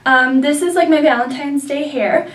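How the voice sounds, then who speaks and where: A woman's voice: high-pitched, moderate pace, far from the mic. A young woman with a nasal voice from a sinus infection, chatting through a hair tutorial with many likes and ums. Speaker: beauty vlogger. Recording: echoey room, mic set back.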